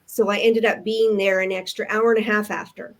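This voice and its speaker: An older woman from Canada, a retired nurse with grandchildren, telling her story on a live chat in an earnest, thoughtful way with pauses. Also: mid-range voice, little pitch movement, low-quality recording. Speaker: online business owner, co-host. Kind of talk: casual chat.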